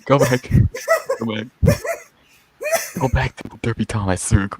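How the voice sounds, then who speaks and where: A man's voice: mid-range voice, a little slow. A man chatting casually with friends during a stream. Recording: noisy voice chat mic, clicks.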